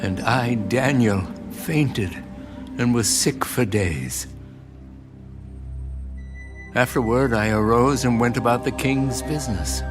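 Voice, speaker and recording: A man narrating a dramatised scripture audiobook in a solemn, measured, earnest style. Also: medium pitch. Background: music.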